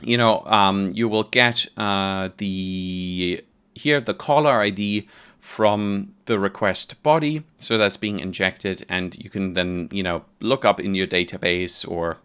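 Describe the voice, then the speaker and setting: A man with a low, smooth voice walks through a software tutorial. He keeps it informal and conversational, explaining each step as a presenter would to fellow developers. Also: fairly even intonation, slow pace. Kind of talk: casual software tutorial narration.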